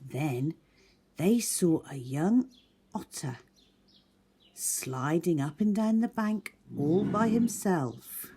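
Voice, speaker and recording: Mellow, expressive woman reading a children's story. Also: on the low side, slow, pauses often. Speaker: storyteller, teacher. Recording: faint background noise, birdsong. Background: birdsong.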